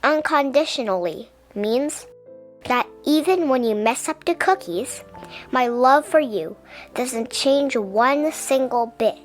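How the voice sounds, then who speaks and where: A woman reading a children's picture book aloud in a gentle, deliberate, expressive way. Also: sits high, faint music behind. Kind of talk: children's storybook read-aloud.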